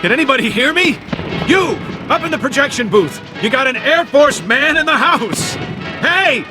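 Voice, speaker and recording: Lost amnesiac American airman, radio play, stammering, highly expressive delivery, music underneath. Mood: excited, desperate. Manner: halting, stammering, repeats words. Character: confused, lonely amnesiac Air Force man talking to himself. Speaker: lead actor. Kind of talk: dramatic radio play acting.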